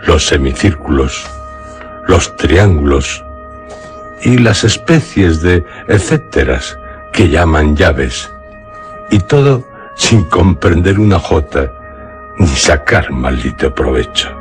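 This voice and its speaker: A man narrating a literary tale for radio theatre in a solemn, deliberate manner with dramatic pauses. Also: a bass voice, animated, faint music behind. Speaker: radio storyteller.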